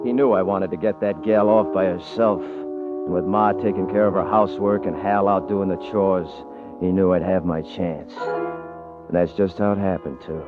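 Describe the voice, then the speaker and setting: An American actor playing an arrogant, bullying cowboy rancher in a radio Western, with a folksy drawl and rough grammar. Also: male voice, low-pitched, fairly even intonation, normal speed, natural voice. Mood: sly, smug. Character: arrogant, bullying, leering Western rancher man, mocking and short-tempered. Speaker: actor and first-person narrator. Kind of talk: old-time radio drama acting, rustic Western dialect. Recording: dull vintage radio recording with music behind.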